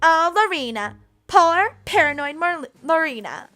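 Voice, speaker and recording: Haughty, cruel older maid, played by a woman: high, drawn-out, mocking, theatrical scolding, light music behind. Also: very animated, bright, forceful tone, exaggerated voice. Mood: smug.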